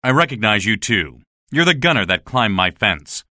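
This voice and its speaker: A man's voice: fairly low pitch, very animated, moderate pace, bright, forceful tone, no room sound, clean studio sound, heavily acted. A man playing an anxious, earnest survivor in a dramatized story, questioning and incredulous in manner. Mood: guarded, weary. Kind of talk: dramatized audiobook dialogue.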